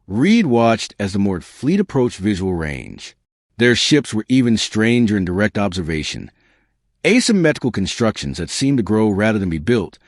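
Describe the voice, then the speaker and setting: A man reading a scripted science fiction story as an audiobook narrator, in a measured, dramatic storytelling delivery. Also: fairly low pitch, no echo, clean studio sound.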